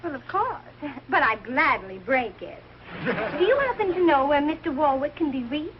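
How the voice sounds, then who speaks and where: High, sugary catty young rival. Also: female voice, sing-song, normal speed. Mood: sugary, scheming. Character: catty, falsely sweet young female rival. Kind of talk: 1940s live TV sitcom acting. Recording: dull, noisy old TV soundtrack.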